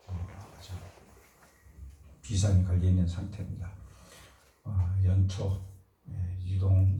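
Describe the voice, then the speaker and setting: A man, a pastor, leading a fervent formal prayer and giving plain announcements, his voice a bit hoarse from a cold. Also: low-pitched, warm tone, slight background noise. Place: church sanctuary. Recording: echoey church from a distance.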